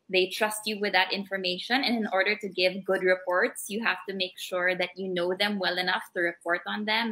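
A young woman with a Filipino accent talks in an earnest, reflective way. Her voice is bright and forward. She is heard through a laptop webcam mic on a video call from a small room. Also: medium pitch. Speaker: guest, former courtside reporter. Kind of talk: casual video-call interview.

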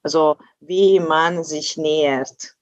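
A woman in her thirties, a native Hungarian speaker, talking slowly on a video call through earphones, often restarting as she searches for words. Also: sits low, fairly even intonation, no echo. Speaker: translator, guest. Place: indoors at home in front of a blue backdrop. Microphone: earphone headset on a video call. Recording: dull lo-fi video call audio.

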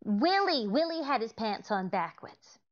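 An actress playing a sweetly scatterbrained, innocent comedian wife in a 1940s-style radio comedy, earnestly explaining nonsense. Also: female voice, fairly high pitch, sing-song, a little slow, lo-fi sound. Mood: guileless. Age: middle-aged.

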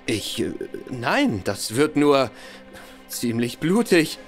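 A man's voice: medium pitch, sing-song, slow pace, faint music behind. An actor playing a foolish young man, the villain's son, boastful and easily flustered, stammering when unsure. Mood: nervous. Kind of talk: radio play acting.

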